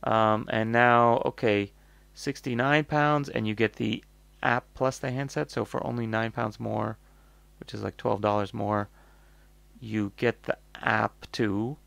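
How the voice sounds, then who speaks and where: A low-voiced man with a North American accent and a good deal of vocal fry talks through a product review off the cuff. He rambles and thinks aloud, bluntly, in a very dry-sounding recording. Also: fairly even intonation, unhurried, fairly bright tone, no echo. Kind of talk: casual off-the-cuff review commentary.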